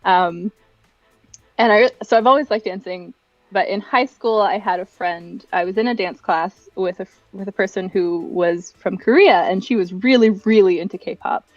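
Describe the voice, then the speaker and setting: A woman's voice: mid-range voice, moderate pace, no echo, lo-fi sound. A young adult woman chatting casually and giggly as a livestream guest, rambling through personal stories. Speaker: livestream guest, event director and dancer.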